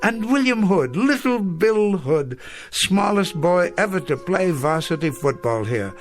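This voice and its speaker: A wistful, bookish elderly professor. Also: male voice, sits high, big pitch swings, a little slow, full character voice. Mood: wistful, nostalgic. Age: older. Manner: formal, bookish, wordy, gently self-deprecating. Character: elderly dismissed schoolteacher, wistful, courtly, nostalgic. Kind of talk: radio drama acting. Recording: music behind the voice.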